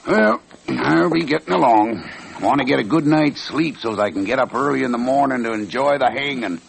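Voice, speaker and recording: A hard, domineering villain of the Old West in a vintage radio drama. His voice is gravelly and tough with folksy grammar, over a faint layer of broadcast noise. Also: mid-range voice, normal speed, low-quality recording. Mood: coldly domineering. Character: cold, bullying western saloon villain. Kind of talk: old-time radio western acting.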